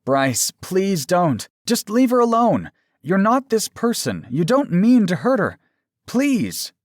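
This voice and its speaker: Man as an anxious young man, high pleading voice. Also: huge pitch swings, slow pace, no room sound, clean studio sound, heavily acted. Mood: desperate pleading. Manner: pleading, desperate, whimpering.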